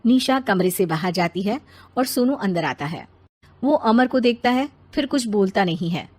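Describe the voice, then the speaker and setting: A woman's voice: medium pitch, lively intonation, normal speed, a little mellow. A woman narrating a scripted children's moral story.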